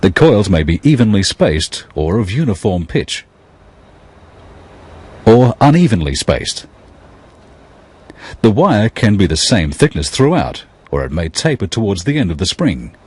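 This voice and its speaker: A man narrates a technical lesson in a deep, low voice that is unusually smooth and resonant. His delivery is measured and explanatory, and his pitch moves expressively rather than staying flat.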